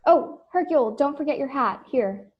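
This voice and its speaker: A woman's voice: sits high, expressive, moderate pace. A woman playing a sweet, polite, helpful young costumer's daughter in an audio play, recorded over a dull video call.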